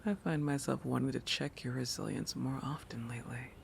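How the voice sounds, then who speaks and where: A man voicing a possessive, oblivious shark protector in an intimate roleplay audio, halting and musing, often dropping into a breathy whisper. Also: medium pitch, steady tone, background noise. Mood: teasing, possessive. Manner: halting, musing, with thoughtful hums.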